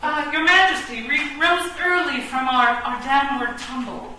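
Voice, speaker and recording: A woman's voice: sits high, moderate pace, pushed and bright. A woman playing a defiant, sardonic, bookish chess pawn in a comic radio play, declaiming and correcting wryly. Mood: placating. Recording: echoey room, distant mic, noisy background.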